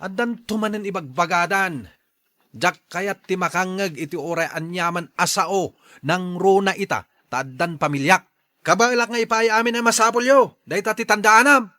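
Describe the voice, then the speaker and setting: A man's voice: sits high, moderate pace, pushed and bright, no room sound, studio quality. An actor playing a proud, stern husband and father in a radio drama, with an Ilocano accent. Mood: indignant, angry. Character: proud, stern husband and father, short-tempered about money.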